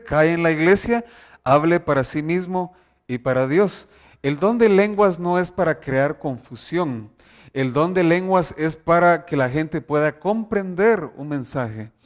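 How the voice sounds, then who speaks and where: Man, preacher, emphatic sermon delivery, somewhat high voice. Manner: emphatic, reads scripture aloud with rising stress.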